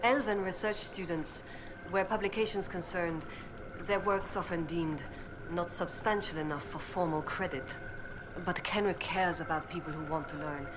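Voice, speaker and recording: A woman's voice: fairly low pitch, moderate pace, background noise, natural voice. An actress playing a young female elven research assistant in a video game, earnest and determined, speaking in a precise, scholarly way. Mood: earnest, guarded. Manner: precise, scholarly, thoughtful delivery.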